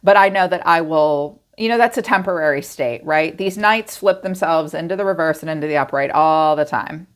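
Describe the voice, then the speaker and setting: A woman talks her way through a tarot spread in a loose, conversational way. Her voice sits a little low for a woman, and she keeps slipping into short acted-out lines of what her viewers might be thinking. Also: normal speed, few pauses, smooth. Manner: chatty, lively, acts out listeners' inner voices. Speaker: tarot reader. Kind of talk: casual spoken tarot reading.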